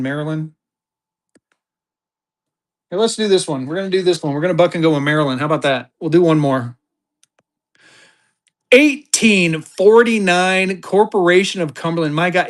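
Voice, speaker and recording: A tired man running a live banknote auction on a stream, hyping bids in quick bursts and talking about himself in the third person. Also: high-pitched.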